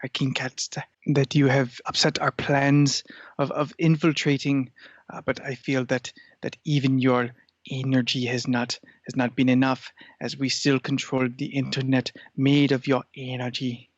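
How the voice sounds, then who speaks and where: Man playing a pompous, flat-toned scheming cat. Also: medium pitch, no room sound, highs cut off, lightly acted. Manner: halting, pompous, deliberate. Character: scheming, self-important male cat, feline adversary. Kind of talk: improvised comedy mock interview.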